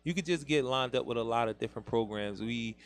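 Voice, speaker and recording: A man's voice: medium pitch, fast, clean tone. A man with a Philadelphia accent hosting a casual internet radio talk show, rambling loosely and laughing often. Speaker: podcast host.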